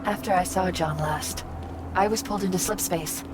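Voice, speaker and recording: A woman playing a confident female artificial intelligence companion in a video game, speaking in an expressive, conversational, familiar way. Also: lowish voice, normal speed, background noise, lightly acted. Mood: earnest, wondering. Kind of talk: natural video game drama acting. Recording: loud cinematic music and effects behind.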